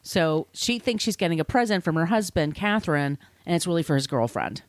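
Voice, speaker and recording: Lively, slightly low-voiced woman telling stories. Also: a little fast, dry recording. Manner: informal, swearing, conversational asides. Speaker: podcast host. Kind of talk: casual storytelling chat.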